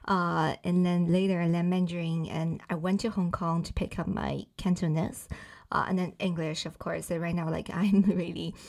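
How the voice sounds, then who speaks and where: A young woman from mainland China, whose first language is Bai, talks as a podcast guest. Her voice sits a little low and carries a gravelly fry. She speaks haltingly, with frequent fillers and restarts. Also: flat delivery, darkish tone. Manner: hesitant, many fillers and restarts. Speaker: guest, startup founding member. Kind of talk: casual interview talk.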